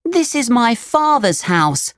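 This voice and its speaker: A woman's voice: fairly high pitch, very animated, slow, dry recording, clean studio sound, voice pushed up. A woman voicing a naughty, wilful boy puppet in a children's storybook, childlike, pleading and emphatic. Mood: indignant, wilful. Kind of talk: children's storybook character reading.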